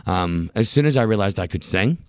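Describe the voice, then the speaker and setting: A very low-voiced man in his late thirties, born in Scotland, talking as an interview guest. He spins expressive anecdotes with wry asides and laughs, recorded close and dry in a podcast studio. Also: fairly quick, clean tone, no echo. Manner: expressive storyteller, wry jokes, frequent laughs. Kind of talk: casual interview talk.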